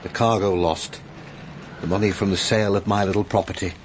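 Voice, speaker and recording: An actor playing a gentle, remorseful middle-aged father in a period drama, halting and sorrowful. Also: sits low, steady tone, a little hiss or hum, lightly acted. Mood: despairing. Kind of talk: period audio drama acting.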